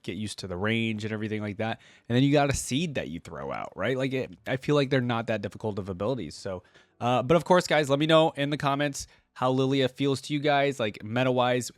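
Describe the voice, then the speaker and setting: A man's voice: medium pitch, fast, no echo. A man hosting a reaction video, commenting casually as he watches.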